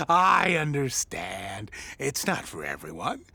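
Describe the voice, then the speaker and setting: An actor playing a kindly, gently teasing man in a naturalistic audio drama. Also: medium pitch, big pitch swings, slow, creaky, slightly rough, dry recording, exaggerated voice.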